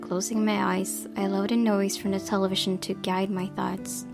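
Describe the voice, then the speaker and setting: Creaky-voiced woman narrating a visual novel. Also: medium pitch, level tone, normal speed, over music. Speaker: game player reading aloud.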